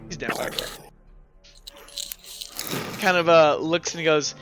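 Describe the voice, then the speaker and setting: A man improvising narration as game master of a tabletop game, casual and halting with lots of fillers. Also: a little high, music in the background.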